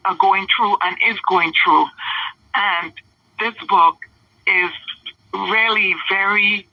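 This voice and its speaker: A woman's voice: medium pitch, dry recording. Distant phone-line woman from Trinidad. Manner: hesitant, many ums and restarts, soft laughs. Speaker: author, interview guest. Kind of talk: interview answers.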